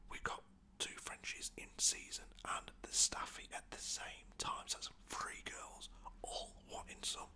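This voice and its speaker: Cheeky British whisperer, bright and breathy. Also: measured pace. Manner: cheeky, chatty, slangy, mild swearing. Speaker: storyteller talking about own pets. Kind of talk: whispered casual storytelling, ASMR.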